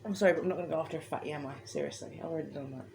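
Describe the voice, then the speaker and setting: A woman's voice: deep voice, fairly even intonation, very fast, creaky, noisy background. A woman co-hosting a casual chat, giggling and defending herself. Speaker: co-host.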